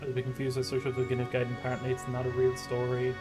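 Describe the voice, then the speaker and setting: Very monotone man chatting over a game. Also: mid-range voice, rapid-fire, music in the background. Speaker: video game player commenting. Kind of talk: casual let's-play chat.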